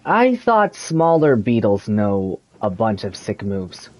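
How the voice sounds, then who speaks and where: An actor voicing a young, calm, skeptical traveler in a video game, measured and doubting. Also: medium pitch. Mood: doubtful.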